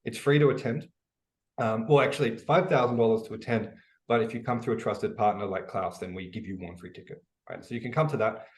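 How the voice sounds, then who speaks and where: A man in his forties with an Australian accent, a guest on a podcast telling stories with energy and lots of fillers. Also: fairly low pitch. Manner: energetic storytelling, quick with many fillers. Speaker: guest, e-commerce educator. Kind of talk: podcast interview.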